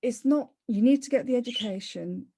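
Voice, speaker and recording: A woman's voice: fairly high pitch, brisk, warm tone, husky, no echo. A middle-aged woman with a Leicester English accent, chatting casually as an interview guest, rambling and restarting her sentences often. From born in Kenya, raised in Leicester, England. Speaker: interview guest, property investor.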